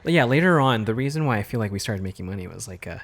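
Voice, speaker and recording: A man's voice: on the low side, expressive, fast. A man in his thirties co-hosting a casual podcast, talking things through thoughtfully. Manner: thoughtful, lots of fillers and restarts. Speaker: podcast co-host, artist. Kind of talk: casual chat.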